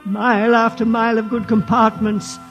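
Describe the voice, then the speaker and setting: An actor playing a solemn old keeper of sacred legend, reciting slowly like scripture in a vintage radio drama. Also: male voice, high-pitched, little pitch movement, loud music behind the voice. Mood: solemn, reverent. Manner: slow, incantatory, scripture-like recitation. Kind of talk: 1950s radio drama acting.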